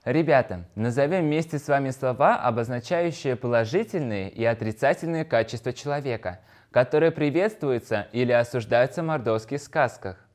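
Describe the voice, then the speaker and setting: A man's voice: medium pitch, darkish tone. A man presenting a scripted educational lesson in a didactic way, addressing his pupils.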